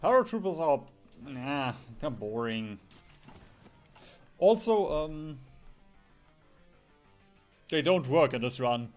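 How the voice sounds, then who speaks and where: Lively, higher-pitched man commentating on a game. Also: slow pace, long pauses. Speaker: gaming streamer. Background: background music.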